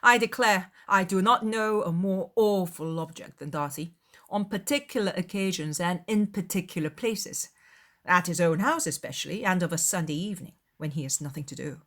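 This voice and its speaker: A woman voicing a cheerful, teasing young gentleman in a dramatised audiobook, with emphatic, playful exclamations. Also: fairly low pitch, normal speed, close to an everyday voice. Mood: teasing, jovial. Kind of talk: dramatised audiobook of a classic novel.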